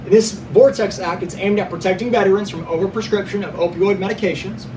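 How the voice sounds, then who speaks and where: High-voiced man reading news, distant mic. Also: fairly even intonation, quick pace. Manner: reads from notes, stumbles and corrects himself, stresses being unbiased. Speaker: podcast host. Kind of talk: reading prepared news notes with asides. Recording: distant mic with clear background noise.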